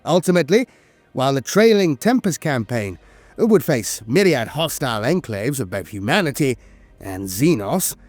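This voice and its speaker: Man narrating lore, dramatically varied pitch. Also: very animated, normal speed, a little mellow, no room sound. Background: background music.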